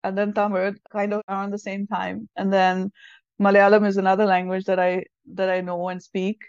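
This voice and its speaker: A woman's voice: mid-range voice, monotone, normal speed, darkish tone, clean tone, no echo. A woman with an Indian accent and Hindi as her first language, giving thoughtful, explanatory answers in a conversational interview. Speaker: podcast guest, English language teacher and trainer. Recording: dull lo-fi audio.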